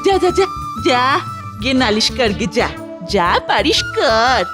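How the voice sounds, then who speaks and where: Cheeky old cartoon granny, high lively voice, slow taunting, music under. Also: female voice. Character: lazy greedy old grandmother Mithai, cheeky.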